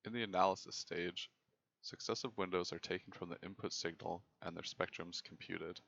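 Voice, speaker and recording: A young man giving scripted technical narration as a presenter, in a measured, formal way. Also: low voice, steady tone, vocal fry, fairly bright tone, dry recording, dull audio. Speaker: presenter of a student software project.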